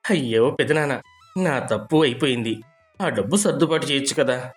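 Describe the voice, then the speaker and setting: A man's voice: mid-range voice, moderate pace, faint music behind, lightly acted. A man playing a scheming young husband who puts on desperate, pleading ruin in a dramatized moral story.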